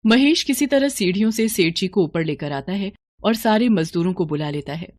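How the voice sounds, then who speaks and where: A woman narrates a moral story in a scripted storytelling style. Her voice sits at a middle pitch, and the sound is dry and close. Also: animated, moderate pace, darkish tone, dry recording.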